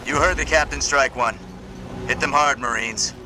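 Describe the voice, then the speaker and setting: A man's voice: medium pitch, background noise, natural voice. Confident, tough squad leader played by a man. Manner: brisk, businesslike soldier talk. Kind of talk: video game military dialogue. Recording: loud game music behind.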